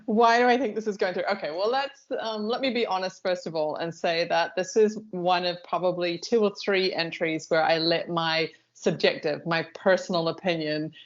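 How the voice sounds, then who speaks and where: A woman with a slightly low voice talks casually as a panelist, sharing opinions. The recording is a little dull and lo-fi. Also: fairly even intonation, moderate pace, hardly any pauses. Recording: dull, lo-fi band-limited sound.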